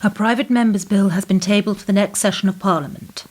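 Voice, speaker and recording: A woman plays a quietly menacing government minder in a radio drama. Her mid-pitched voice is controlled and formal, and she places her words with cool, measured insinuation. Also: fairly even intonation, natural voice. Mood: cold menace. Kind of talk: radio play acting.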